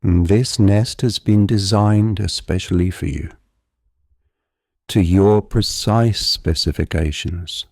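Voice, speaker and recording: A man narrating a guided visualisation in a gentle, calm and deliberate, soothing hypnotic manner. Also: low-pitched, dry recording, studio quality.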